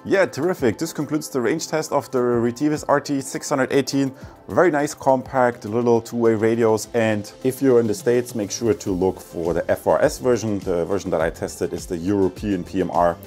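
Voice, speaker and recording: A man's voice: medium pitch, moderate pace, darkish tone, faint music behind. A man giving a casual product review as a vlogger.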